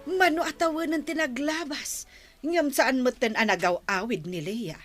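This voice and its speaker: A woman's voice: high voice, normal speed, fairly bright tone, soft background music. A woman acting a nosy, gossiping neighbour in a radio drama, prying and needling.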